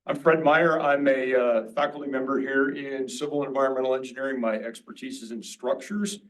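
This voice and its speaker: A man on the faculty, from Southern California, introducing himself in a relaxed, friendly way. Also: mid-range voice, fairly quick, far from the mic, low-quality recording. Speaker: faculty member. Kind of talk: casual self-introduction.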